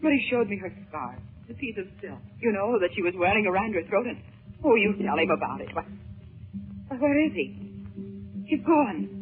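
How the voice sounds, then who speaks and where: A woman's voice: medium pitch, sing-song, normal speed, faint music behind, close to an everyday voice. Anguished well-bred mother played by an actress, theatrical and imploring, old radio serial on a thin vintage recording. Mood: anxious, confused. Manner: breathy theatrical exclamations, pleading. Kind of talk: 1930s radio serial acting. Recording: scratchy tinny 1930s radio recording.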